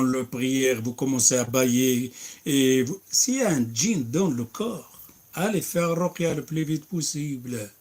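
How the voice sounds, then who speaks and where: A man preaching and answering questions, emphatic, breaking into recited Arabic verses. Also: mid-range voice. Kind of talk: religious Q&A.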